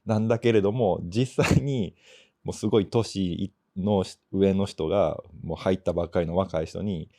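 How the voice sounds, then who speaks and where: A man in casual podcast chat, sharing reflective, measured opinions. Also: sits low, mellow. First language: Japanese. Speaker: podcast co-host.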